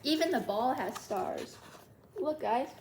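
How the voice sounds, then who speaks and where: A woman's voice: sits high, animated, slow pace, noisy background. A woman hosting a children's storytime, reading a storybook aloud with asides to young listeners.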